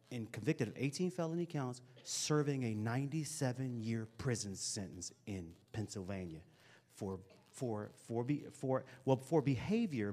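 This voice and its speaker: A man in his forties talks to a room of young people, loose and chatty, nudging them to speak up. His voice sits in an ordinary middle register. He is on a handheld mic in a school hall. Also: mid-range voice. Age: 40s. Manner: lively, casual and encouraging, chatty asides. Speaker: motivational speaker. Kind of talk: live talk to a youth audience. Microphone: handheld microphone.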